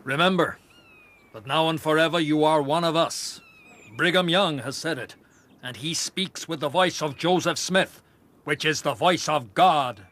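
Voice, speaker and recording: An actor playing a pious, possessive zealot in a radio drama, fervent and declamatory. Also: male voice, fairly high pitch, unhurried, fairly bright tone. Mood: pious, insistent.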